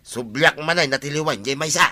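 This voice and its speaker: Comedy skit: querulous Filipino village grouch, played by a man, Ilocano accent, very forceful and slightly high-pitched. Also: fairly quick, faint music behind. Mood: irritated complaining. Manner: querulous, insistent. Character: grouchy village man forever complaining about noisy roosters. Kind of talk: amateur comedy skit acting.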